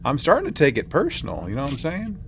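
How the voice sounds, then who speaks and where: A man's voice: on the high side, wildly swooping pitch, fast, gravelly, slight background noise. A man hosting a podcast with casual banter in a joking, slangy manner. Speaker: podcast host.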